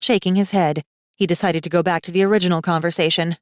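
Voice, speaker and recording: A woman's voice: fairly low pitch, fairly even intonation, fast talker, a little mellow, no echo, clean studio sound. A woman reading scripted fantasy fiction as an audiobook narrator.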